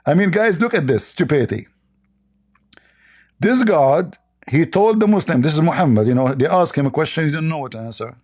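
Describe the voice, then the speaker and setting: A man's voice: fairly high pitch, expressive, brisk, a little mellow. Sarcastic man. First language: Arabic. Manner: mocking, sarcastic, repeats and restarts words. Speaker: online show host. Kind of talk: heated polemical monologue.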